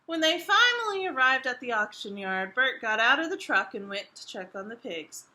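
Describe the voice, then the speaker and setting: Woman, high-pitched, lively intonation, toddler storytime reading, expressive, questioning the young listeners. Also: normal speed, a little hiss or hum. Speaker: children's librarian reading a storytime. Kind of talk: animated read-aloud of a picture book for toddlers.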